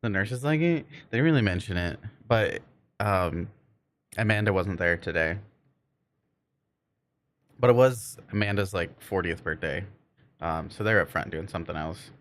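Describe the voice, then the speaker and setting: Man, low voice, game livestreamer, casual rambling chat, searching for words. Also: lively intonation, normal speed, long pauses. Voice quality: cannot roll R's.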